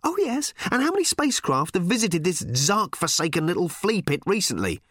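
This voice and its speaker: A blunt, fretful alien traveller, put on by a man reading aloud, always pressing to get moving. His lines have a lively rise and fall, and there is no room sound at all around the voice. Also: high-pitched, a little fast, dry recording, studio quality, voice pushed up, heavily acted. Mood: impatient.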